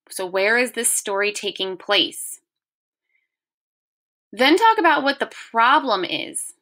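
A woman in her thirties speaks as a teacher to young pupils. Her voice is bright and a little high, and she takes her time, phrasing things simply and deliberately as she reads and explains. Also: vocal fry. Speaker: second-grade teacher. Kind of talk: reading a children's story aloud and explaining the questions to pupils.

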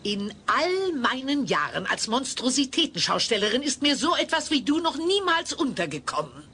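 An actress playing a brusque, scheming freak-show owner in an audio play, theatrical and bossy. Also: female voice, high voice, big pitch swings, moderate pace, pushed and bright, exaggerated voice. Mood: scheming, delighted. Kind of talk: German audio play acting. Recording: slightly noisy old cassette.

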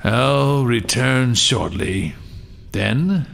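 A man's voice: medium pitch, lively intonation, gravelly, fairly bright tone, a little hiss or hum, heavily acted. An actor playing an aloof, dry-tempered male adventurer in a fantasy audio drama, stating things plainly. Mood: dry, dismissive. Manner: drawn-out, plainly stated.

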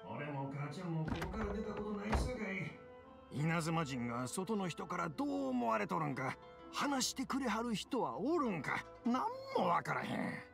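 An old Kansai father in an anime game, gravelly and lively in his intonation. He is a gentle craftsman who frets over his family, with music playing behind him. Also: sits high, normal speed, full character voice. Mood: worried, fussing. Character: elderly, gently worried father and fireworks craftsman. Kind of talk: Japanese anime game voice acting.

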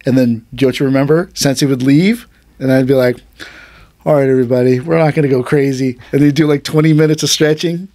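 Casual male podcast host, mid pitch, rambling anecdotal delivery, dry close sound. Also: steady tone, a little fast, mellow, no echo. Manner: loose storytelling with many fillers, acts out quotes. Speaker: aikido teacher and podcast host.

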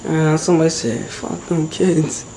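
A young man talks in loose, excitable slang to a handheld camera, his voice sitting a bit high for a man. He is exploring a dark abandoned building at night and keeps dropping to a whisper. The camera audio is noisy, with crickets chirping behind him. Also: normal speed. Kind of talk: casual handheld vlog.

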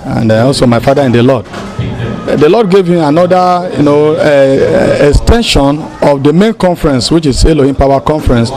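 A man's voice: a little high, darkish tone. A middle-aged man with a Nigerian accent, a pastor explaining things in an interview with frequent hesitations. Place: outdoors beside a building. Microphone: handheld interview microphone.

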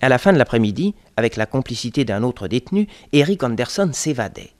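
A man narrating a French radio detective drama in measured storytelling. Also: mid-range voice, big pitch swings, slight background noise, natural voice.

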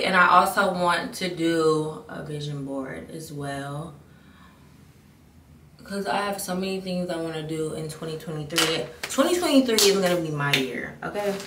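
A young woman in her twenties talking candidly to the camera as a beauty vlogger, rambling and restarting her sentences. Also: lowish voice. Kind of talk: casual chat to camera. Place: bathroom at home, indoors. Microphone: camera mic at a distance. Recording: echoey bathroom, distant mic, background noise.

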